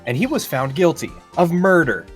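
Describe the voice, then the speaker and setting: A man's voice: a little high, sing-song, normal speed, faint music behind. A man playing an earnest, snarky young defense lawyer in an amateur video game dub, declaring his points wryly. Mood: insistent.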